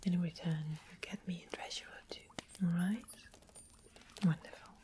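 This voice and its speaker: A woman's voice: lowish voice, fairly even intonation, normal speed, close to an everyday voice. Woman playing a whispering, sweetly sly royal witch. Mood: coaxing, bargaining. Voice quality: soft breathy whisper. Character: sweetly sly royal witch trading rare magical items. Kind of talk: ASMR fantasy roleplay. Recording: clearly noisy close whispered recording.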